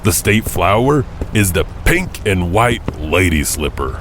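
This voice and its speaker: A man's voice: low voice, big pitch swings, a little slow, background noise. A man playing a folksy, goofy janitor who hosts a storytelling podcast, joking and rambling as he goes. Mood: chatty, jovial. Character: folksy, goofy male janitor telling strange tales. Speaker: host. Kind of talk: in-character podcast monologue.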